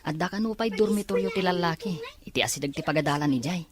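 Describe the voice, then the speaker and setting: A woman's voice: fairly low pitch, lively intonation, fast talker, natural voice. A woman voicing a modest, hopeful teenage boy student in an audio drama, with an Ilocano Filipino accent. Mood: earnest. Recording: crowd noise behind voice. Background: crowd chatter.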